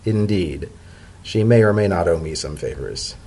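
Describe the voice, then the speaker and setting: A dignified male pony official from a storybook, played by a man. His voice is fairly low and husky. He speaks with dry, deadpan understatement and firm, dutiful resolve. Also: moderate pace, exaggerated voice. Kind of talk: dramatic story reading.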